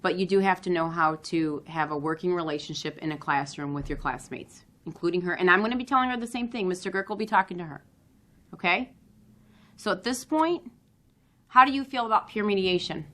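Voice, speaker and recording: A woman acting as a patient but firm school counselor in an amateur role-play, speaking in a measured, coaxing way. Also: on the low side, fairly bright tone, natural voice. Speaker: school staff member counseling a student. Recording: roomy echo, distant microphone.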